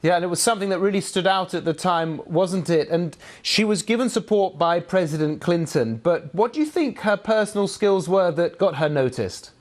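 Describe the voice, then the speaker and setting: A man's voice: sits high, animated, normal speed, few pauses. A man presenting broadcast news and interviewing as a studio host.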